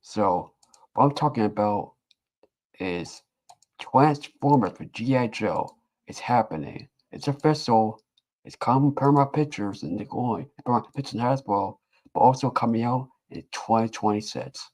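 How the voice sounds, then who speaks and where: A man chatting casually as a co-host, halting and repeating himself with many fillers. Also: mid-range voice, lively intonation, a little mellow, poor audio. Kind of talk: casual chat.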